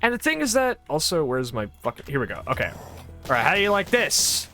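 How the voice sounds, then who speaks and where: A high-pitched man talks through a game, his voice swooping wide as he gets excited. He sounds congested and swears freely between laughs, with soft game music under him. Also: quick pace. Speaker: streamer playing a shooter. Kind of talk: casual gameplay chat.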